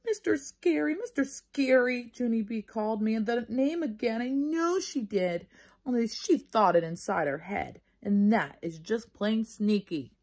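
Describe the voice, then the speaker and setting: A bossy little tattletale girl from a storybook, played by a woman reader. The voice jumps up high, grumpy and indignant, and leans hard on the words. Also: expressive, measured pace, a little mellow. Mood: indignant tattling.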